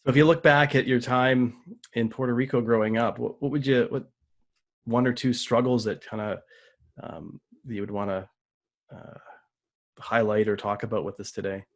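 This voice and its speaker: A man hosting a podcast interview, friendly and a bit halting, often repeating his words. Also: mid-range voice, steady tone. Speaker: podcast host.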